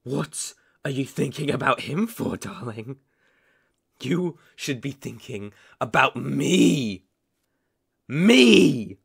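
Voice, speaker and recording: Man acting slow, creaky-voiced possessive yandere boyfriend. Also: medium pitch, very animated, dry recording, studio quality, exaggerated voice. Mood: possessive, menacing. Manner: theatrical, slow, coaxing with sudden intense swells. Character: possessive yandere boyfriend, menacing yet sugary, unhinged. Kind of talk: ASMR roleplay acting. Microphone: close studio microphone.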